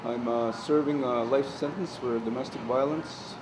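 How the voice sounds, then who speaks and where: A man's voice: medium pitch, steady tone, normal speed. Hesitant man, noisy recording. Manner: hesitant, starting with "uh". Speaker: inmate serving a life sentence. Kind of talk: documentary interview.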